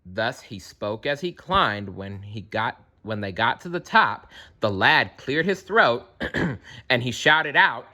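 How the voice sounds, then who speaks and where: A man's voice: medium pitch, animated, slow pace, bright, forceful tone. A man reading a rhyming picture book aloud with a playful, rhythmic delivery. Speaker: storybook reader.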